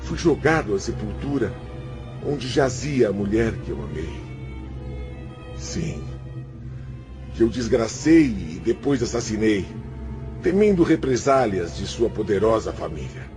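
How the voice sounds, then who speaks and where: A man's voice: fairly low pitch, wildly swooping pitch, measured pace, pauses often. A man acting in a radio drama as a self-pitying, defiant male spirit, theatrical and complaining as he justifies himself. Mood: uneasy confession. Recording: music under the voice.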